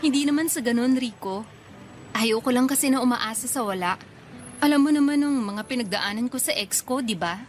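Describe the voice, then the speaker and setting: Young Filipina girlfriend, actress, high voice, coy, playfully skeptical, radio drama. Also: fairly quick, a little hiss or hum, natural voice. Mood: doubtful. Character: tired, doubtful, teasing young working girlfriend. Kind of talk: radio romance drama acting.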